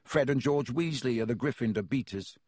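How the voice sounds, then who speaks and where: A man doing a weary schoolboy, flat and patient, explaining rules, storybook figure. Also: medium pitch, brisk, husky, no echo, clean studio sound, natural voice. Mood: resigned. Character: young schoolboy, tired and resigned. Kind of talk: audiobook character voice.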